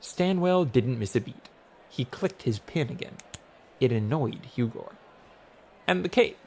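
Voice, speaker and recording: A man's voice: mid-range voice, lively intonation, unhurried, dark tone, husky. A man narrating a comic fantasy audiobook in a wry, scripted storytelling style.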